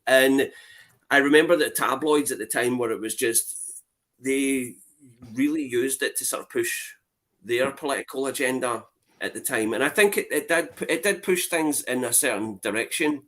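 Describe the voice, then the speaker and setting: A man's voice: mid-range voice, normal speed, a bit bright. A man giving his opinions emphatically in a casual film chat, swearing freely. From Scotland.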